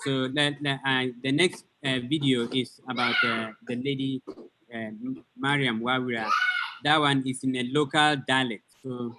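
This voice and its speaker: A man giving an informal presentation over a video call, speaking haltingly and searching for words. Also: mid-range voice, steady tone, heavy background noise. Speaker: guest presenter.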